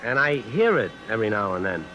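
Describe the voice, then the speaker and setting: A male actor playing a reflective, subdued man haunted by his past, in 1950s radio drama style, speaking haltingly and ruefully. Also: fairly low pitch, big pitch swings. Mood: weary, reflective. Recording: muffled old radio recording with music.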